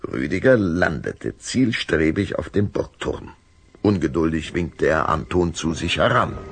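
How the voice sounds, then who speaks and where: A man narrating a children's audio story from a script in a dramatic, deliberate storytelling manner. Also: extremely low pitch, lively intonation, slightly rough.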